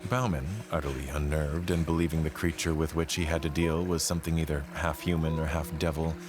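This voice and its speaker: A man gives a measured, solemn, literary narration of a scripted horror tale. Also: very deep voice, little pitch movement. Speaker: storyteller narrating an audio play. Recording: music behind the voice. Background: background music.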